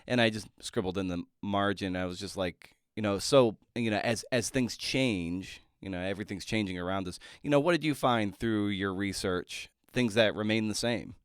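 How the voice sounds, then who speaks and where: Male podcast host. Deep, clean voice, dry close sound, thoughtful and halting conversational delivery. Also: clean tone, no room sound. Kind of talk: conversational interview.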